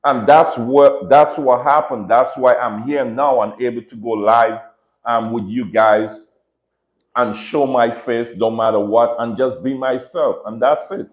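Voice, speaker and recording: An earnest man with a Caribbean accent takes his time, speaking unhurried and reflective on a home livestream. His voice sits at an ordinary middle pitch and rings around a roomy, echoing space. He circles back on his own phrases and keeps asking listeners "right?". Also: fairly even intonation. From Dominica, raised in Saint Martin. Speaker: livestream host and dog breeder. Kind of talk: casual reflective livestream talk. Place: room at home with dogs. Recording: echoey room.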